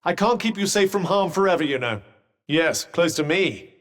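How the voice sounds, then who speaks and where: A sardonic enchanted cuff for a video game: middle-aged, refined British male, fairly high voice, dry and pompous, drenched in long reverb. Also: animated, moderate pace, fairly bright tone. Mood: dry sarcasm. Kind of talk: game companion combat barks.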